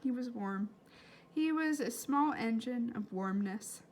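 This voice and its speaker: A woman reads a story aloud in an expressive, fairly high voice. Her pitch moves widely as she adds dramatic emphasis, and she speaks casually when addressing her listeners. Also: slow pace. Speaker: read-aloud reader.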